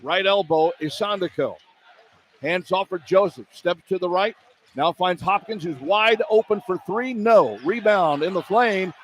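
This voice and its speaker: A high-voiced man calls basketball live on the radio in short, clipped bursts that follow the action. He works as the play-by-play announcer, with a light wash of arena noise around his voice. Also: a little slow. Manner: clipped phrases, animated on big plays. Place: indoor college basketball arena. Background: arena ambience.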